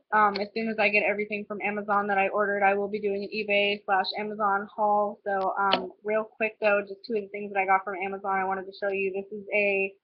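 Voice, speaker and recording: A woman's voice: mid-range voice, slight background noise. A young woman with a stuffy, nasal cold voice, chatting casually through a makeup tutorial and rambling with lots of "um" and "like". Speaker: beauty vlogger. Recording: slightly dull lo-fi home recording.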